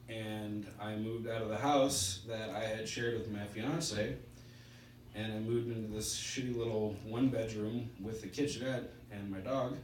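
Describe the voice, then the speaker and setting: Halting man speaking in a roomy, distant recording. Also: lowish voice. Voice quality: stutter. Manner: halting, spaced-out delivery with pauses. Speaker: speaker at a recovery meeting. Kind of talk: personal testimony speech. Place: indoor meeting room. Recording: roomy, distant mic with background hiss.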